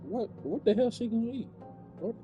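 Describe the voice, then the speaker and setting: A man's voice: very high voice, expressive, fairly quick, dark tone, faint music behind, poor audio. A man giving casual, incredulous gameplay reaction commentary as a streamer, with casual swearing. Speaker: game streamer.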